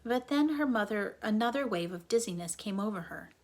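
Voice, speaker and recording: A woman reading a children's book aloud as a dramatic storyteller, often dropping into a breathy hush. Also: mid-range voice, expressive, fairly quick. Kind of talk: expressive children's book narration.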